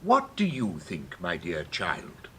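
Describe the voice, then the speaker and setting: A man's voice: sits low, wildly swooping pitch, slow, fairly bright tone, a little hiss or hum, heavily acted. A man acting a fussy, pompous king in a storybook drama.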